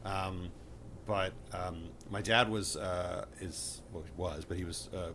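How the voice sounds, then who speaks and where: A man's voice: low-pitched, steady tone, slow pace, bright, forceful tone, a little hiss or hum. A middle-aged man comedian on a casual comedic panel, talking in a rambling, hesitant way with dry wit.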